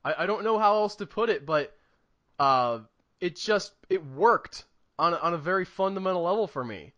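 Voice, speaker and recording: A man's voice: high-pitched, expressive, normal speed, a bit bright, muffled recording. A man co-hosting a film review podcast, chatting casually in an enthusiastic, emphatic way and rambling as thoughts come. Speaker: podcast co-host reviewing films. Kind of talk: casual movie review chat.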